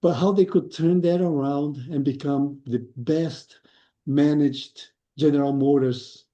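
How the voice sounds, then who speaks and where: Male guest, thoughtful and explanatory, very dark tone, lo-fi audio. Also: on the high side, fairly even intonation, unhurried. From Brazil. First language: Portuguese. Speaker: guest, lean consultant. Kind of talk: podcast interview.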